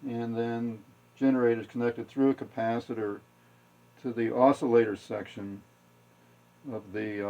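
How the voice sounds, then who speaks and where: A man giving a casual hands-on technical demonstration, thinking aloud in a halting, matter-of-fact way. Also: fairly low pitch, warm tone. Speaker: radio repair hobbyist demonstrating. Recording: dull lo-fi home video audio.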